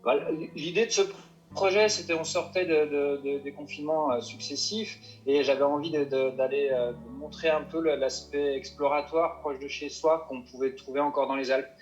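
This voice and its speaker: A mountain guide answering interview questions, hesitant and full of restarts and fillers. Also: male voice, a little high, fairly even intonation, moderate pace, lo-fi sound, faint music behind. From Paris suburbs.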